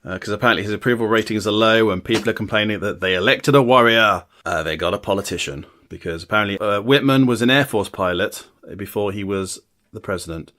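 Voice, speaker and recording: A man with a very low, deep voice leads a casual podcast chat, walking through a film's plot step by step. He drops dry, wry asides between the summary. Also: fast talker, a bit bright. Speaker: podcast host recapping a film. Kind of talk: casual film recap, scene by scene.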